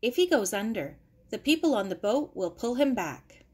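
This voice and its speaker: A woman's voice: fairly high pitch, sing-song, moderate pace, darkish tone, breathy edge. Woman as confident, plucky young girl. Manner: confident, reassuring. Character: reassuring, plucky young girl in 1940s Canada.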